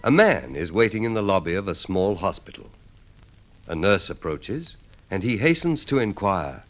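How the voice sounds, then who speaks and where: A man's voice: low-pitched, sing-song, moderate pace. A man narrating an old radio drama, setting the scene in a scripted, dramatic style. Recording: vintage radio with music underneath. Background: music.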